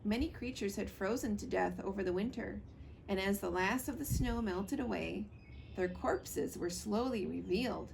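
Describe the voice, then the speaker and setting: A woman's voice: medium pitch, moderate pace, gravelly, noisy background. A woman reading a children's novel aloud as storybook narration.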